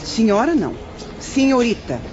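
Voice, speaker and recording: A woman's voice: on the high side, sing-song, moderate pace, music in the background. A woman playing a haughty, calculating young mother in an audio drama, speaking imperiously. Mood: haughty, persuasive. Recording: dull audio, street-like background noise.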